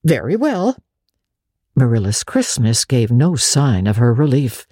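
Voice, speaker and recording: A woman's voice: rumbling low, unhurried, warm tone, husky, no room sound, clean studio sound. A woman narrating classic fiction as a dramatic audiobook reading.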